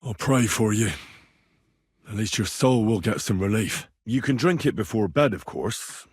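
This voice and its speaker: A man's voice: lowish voice, unhurried, husky, no room sound, studio quality. An actor playing an earnest, reassuring young medieval man tending the sick in a video game, speaking plainly and practically. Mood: calm, advising. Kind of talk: video game character dialogue.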